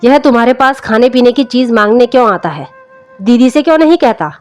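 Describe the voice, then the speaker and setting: A woman's voice: fairly high pitch, expressive, a little fast, close to an everyday voice. A woman voicing a weary, touchy middle-aged lawyer husband in a story reading. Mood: irritated. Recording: music under the voice.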